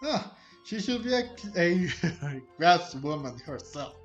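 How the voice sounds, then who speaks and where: A man's voice: a little high, sing-song, slow, echoey room, highs cut off, soft background music. A man hosting a gameplay video with casual, hesitant commentary, searching for his words. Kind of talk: casual let's play commentary.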